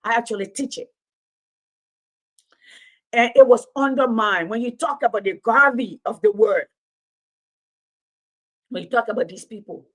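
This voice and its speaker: Woman near sixty, fairly high voice, fiery commentator who preaches in rhetorical runs. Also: a little slow, pauses often, low-quality recording. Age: 50s. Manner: preaching, repetitive rhetorical build-ups. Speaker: commentator and Pan-Africanism teacher. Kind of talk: impassioned livestream commentary.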